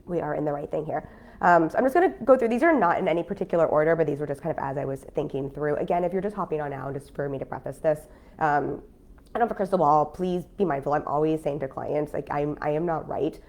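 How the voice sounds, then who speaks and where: A business coach with a cold, talking casually on a live stream in a nasal, stuffy voice with lots of fillers. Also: female voice, deep voice, fast talker, darkish tone. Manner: chatty, frank, many fillers. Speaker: business coach and podcast host.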